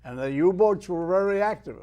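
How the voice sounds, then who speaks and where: An Irish man in his eighties, a retired mariner guest telling stories and reciting his own poetry in a measured way. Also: high voice, animated, warm tone, smooth. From Ireland. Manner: measured storyteller who declaims verse with swelling emphasis. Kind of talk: unhurried storytelling and poetry recitation.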